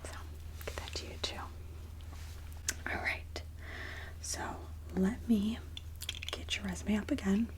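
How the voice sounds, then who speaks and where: A woman speaking softly and breathily, often near a whisper, in a gentle, polite ASMR roleplay as a kindly Mrs. Claus. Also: medium pitch, steady tone, slow pace, long pauses. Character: kindly Mrs. Claus interviewing a job applicant. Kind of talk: soft-spoken ASMR roleplay interview.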